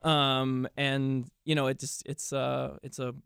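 A man's voice: mid-range voice, flat delivery, slow, vocal fry, a bit bright, smooth, no echo, clean studio sound. A man, an actor, chatting casually as an interview guest.

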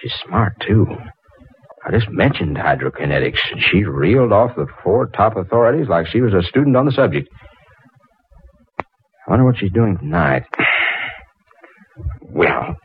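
A man's voice: fairly low pitch, expressive, moderate pace, gravelly, long pauses, slight background noise. An actor playing an eager, flustered, smitten young inventor in 1940s radio drama style, hesitant and muttering as he goes. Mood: smitten musing. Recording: muffled old 1940s radio recording.

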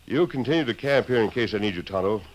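An actor playing a calm, resolute masked cowboy hero with measured, formal authority in a 1950s radio western. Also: male voice, lowish voice, close to an everyday voice. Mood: determined. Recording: faintly noisy old radio recording.

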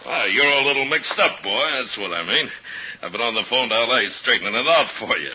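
An actor with an American accent playing a breezy, amused police partner with a husky voice, chatting in slang in a 1950s radio thriller. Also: male voice, mid-range voice, expressive, fairly quick. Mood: amused, explaining. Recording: very muffled old radio recording.

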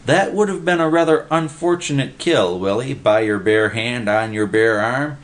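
A man's voice: medium pitch, animated, moderate pace, voice pushed up, close to an everyday voice. A man voicing a pompous, bossy know-it-all bum who lectures in wordy, pedantic language in a storybook reading. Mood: lecturing.

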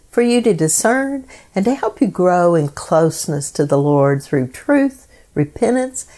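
Slow, low-voiced woman reading devotions. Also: darkish tone. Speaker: host of devotional program. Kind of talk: scripted devotional meditation reading.

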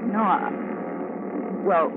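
A woman's voice: extremely slow, narrow, tinny audio. A woman playing an evasive, edgy dancer in a 1950s radio drama, hesitant and guarded in the way she talks. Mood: flustered. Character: evasive, edgy female nightclub-type dancer. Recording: boxy old radio recording, loud noise.

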